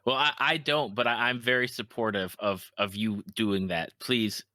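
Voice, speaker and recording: A man with a fairly low but bright, forward voice hosts a casual podcast conversation. He speaks earnestly and haltingly, doubling back on words, in a very dry recording. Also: fairly even intonation, no echo. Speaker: podcast host, interviewer. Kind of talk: casual interview chat.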